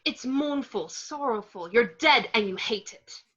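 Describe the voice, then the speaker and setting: A woman plays a brisk, encouraging coach with a bright, highish voice, like a drama teacher. The recording is slightly dull and lo-fi. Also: animated, breathy edge, exaggerated voice. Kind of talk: amateur table read of a film script. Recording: dull lo-fi home microphone.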